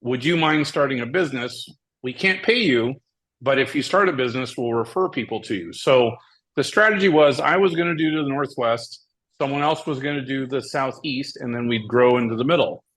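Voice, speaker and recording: A man from Hawaii, a tournament director guest, telling his story in a steady, methodical way. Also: medium pitch, moderate pace, low-quality recording. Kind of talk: casual interview.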